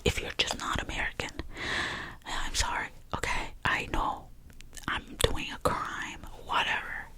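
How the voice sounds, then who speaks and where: A woman, whispering softly through a chatty, rambling ASMR talk about her favourite things. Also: rough recording. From Albania. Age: 20s. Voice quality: soft unvoiced whisper. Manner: rambling and chatty, with many fillers. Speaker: ASMR creator.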